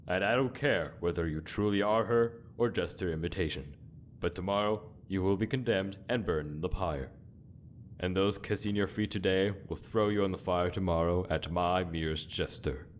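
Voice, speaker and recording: A man voices a stern, commanding inquisitor in a fantasy game. The voice is low and the delivery solemn and contemptuous, full of accusing questions. A faint rumble sits under the recording. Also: normal speed, close to an everyday voice. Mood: contemptuous, threatening. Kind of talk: dramatic fantasy game monologue.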